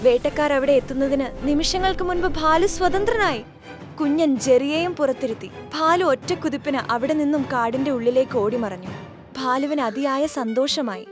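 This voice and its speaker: A woman's voice: high voice, normal speed. A woman narrating a scripted children's story as a storyteller. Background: clear background music.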